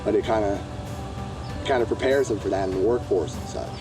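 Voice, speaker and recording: A talkative man from Ohio speaks in an easygoing way about his work history. His voice sits on the low side. Clear music plays behind him. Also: brisk. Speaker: job training participant. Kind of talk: documentary interview.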